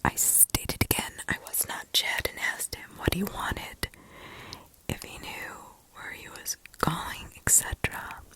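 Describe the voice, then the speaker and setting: A woman reads scary stories in a hushed, breathy ASMR whisper with deliberate pauses, close to the microphone. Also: low-quality recording. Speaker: ASMR host. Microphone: close ASMR microphone, ear to ear.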